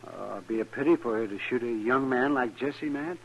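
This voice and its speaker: An American actor playing a cranky, teasing but soft-hearted town doctor in a 1950s radio western, fussing and hemming. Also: male voice, mid-range voice, a little fast, vocal fry. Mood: concerned. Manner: fussy, hemming and hawing. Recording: noisy, dull old radio recording.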